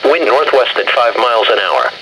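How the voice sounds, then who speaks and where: A synthetic man's voice reading a scripted weather bulletin in formal, measured, clipped phrases through a handheld radio speaker. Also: medium pitch, big pitch swings, sharp tone, a little hiss or hum, poor audio. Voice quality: synthetic text-to-speech voice. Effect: played through a handheld radio speaker. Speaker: automated weather radio announcer.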